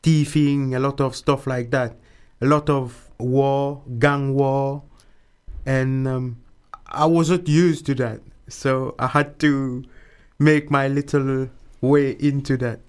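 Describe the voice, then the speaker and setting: A man's voice: mid-range voice, level tone, slow, clear, resonant tone. A man from Mauritius being interviewed, talking casually and repeating words often.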